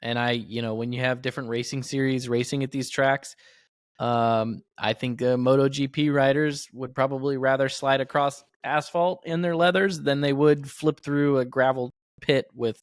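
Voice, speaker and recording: A man talks casually as a motorsport podcast co-host, in a medium-pitched voice. He is measured and a little hesitant, working through his thoughts as he speaks. The recording is dry and free of room sound. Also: fairly even intonation, no echo. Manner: measured, hesitant, thinking aloud. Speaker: podcast co-host and professional race car driver.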